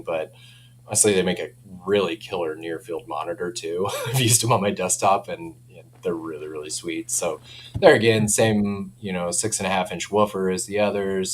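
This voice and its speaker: Low-voiced man chatting, noisy humming background. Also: moderate pace. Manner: chuckling, enthusiastic, informal. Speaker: speaker company representative giving a product demo. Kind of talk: casual product chat. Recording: clear background noise with hum.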